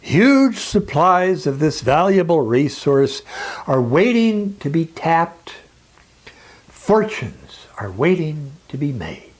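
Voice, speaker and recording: A man reads a scripted educational talk like a lecturer. His delivery is very slow and deliberate, with dramatic pauses. His pitch swings widely as he stresses key words emphatically. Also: sing-song.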